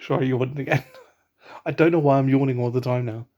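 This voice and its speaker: A man's voice: mid-range voice, steady tone, brisk, warm tone, muffled recording. A young man from England talking at home in a casual vlog, hesitant, with many ums and restarts. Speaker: vlogger. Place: at home, indoors. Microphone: laptop webcam.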